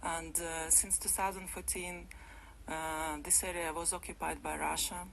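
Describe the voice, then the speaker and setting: A woman's voice: low voice, little pitch movement, a little slow, gravelly, fairly bright tone, a little hiss or hum, rough recording. A woman from Ukraine, a radio interview guest in a studio, speaking English in simple, phrasing. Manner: simple, non-native phrasing, laughs lightly.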